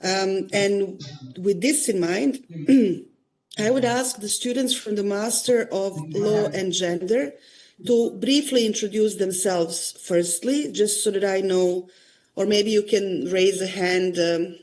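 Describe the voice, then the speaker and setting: A woman's voice: medium pitch, takes it slowly, darkish tone, soft background music, low-quality recording. A woman law professor running an online class, polite and hesitant with many fillers, over a video call.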